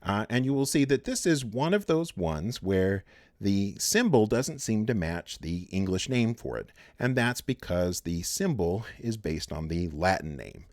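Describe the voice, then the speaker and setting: A man teaching a chemistry lecture in a relaxed way, with many fillers and restarts. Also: fairly low pitch, unhurried, no room sound, studio quality. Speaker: chemistry instructor. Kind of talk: recorded lecture.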